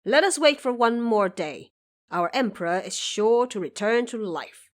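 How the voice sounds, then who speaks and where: A woman's voice: on the high side, animated, measured pace. Woman playing a self-assured prime minister. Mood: confident. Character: calm, self-assured male prime minister, loyal scholar-official. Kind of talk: audio drama character voice.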